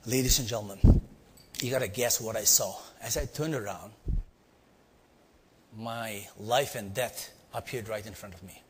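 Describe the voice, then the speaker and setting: A man's voice: on the low side, measured pace, pauses often, slight background noise. A middle-aged man with an Indian accent tells a personal story to a live audience, acting out his exclamations and putting questions to the listeners. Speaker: speaker teaching storytelling. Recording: long reverb of a hall.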